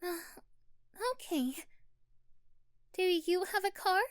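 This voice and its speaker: A woman's voice: extremely high pitch, slow pace, no room sound, clean studio sound, pitched above the performer's own voice. A woman voicing a sweet, shy, flustered schoolgirl with a crush, hesitant and giggly in an ASMR roleplay. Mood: sweet, friendly.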